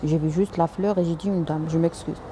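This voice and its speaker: A woman's voice: lowish voice, fairly even intonation, brisk, mellow, a little hiss or hum. A woman doctor answering viewers' comments on a live stream, polite but direct, with frequent hesitations and restarts, slipping in English and Arabic words.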